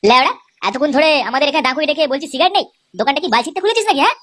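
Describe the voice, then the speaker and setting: Performer doing a cocky young village lad, high-pitched, extremely fast, brash, boastful, foul-mouthed, comic cartoon. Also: lively intonation, noisy background. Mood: belligerent.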